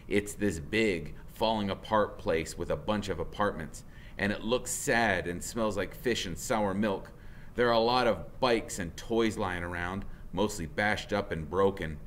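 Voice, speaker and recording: A man narrating a first-person story aloud in an expressive, dramatic way. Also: lowish voice, slight background noise. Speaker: audiobook reader, teacher.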